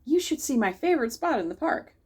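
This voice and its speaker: A woman's voice: high voice, very animated, fast, darkish tone, slightly rough, voice pushed up, exaggerated voice. A woman voicing a polite, slightly awkward young schoolboy in a picture book read-aloud.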